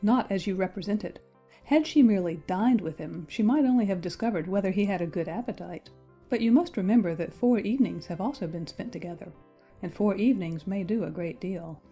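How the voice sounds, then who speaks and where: Woman as pragmatic young period gentlewoman for audiobook drama: mellow, husky, measured and formal, music behind. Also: mid-range voice. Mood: dry. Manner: measured, matter-of-fact, formal period diction. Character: pragmatic, worldly young gentlewoman, coolly advising.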